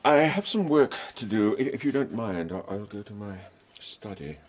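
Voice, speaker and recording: Shy stiff gent, deep voice, stammers, pitch moves a lot, radio drama. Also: male voice, lively intonation, slightly rough. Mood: stiff, restrained. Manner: halting, stammering, stiffly formal. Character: shy, awkward, earnest colonial suitor and dull husband. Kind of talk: period radio drama acting.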